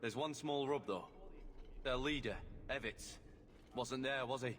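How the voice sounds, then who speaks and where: An actor playing a dry, knowing guard lieutenant in a video game, speaking in a wry, understated way. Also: male voice, medium pitch, a little slow, a bit bright, lightly acted. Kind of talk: dramatic video game voice acting. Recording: long echo of a large hall.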